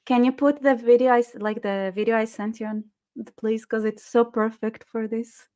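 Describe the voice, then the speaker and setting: A woman's voice: a little high, fairly even intonation, moderate pace, mellow. Sarcastic woman full of "like"s. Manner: sarcastic, many "like" fillers. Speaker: guest. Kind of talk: casual live-stream chat. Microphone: online call.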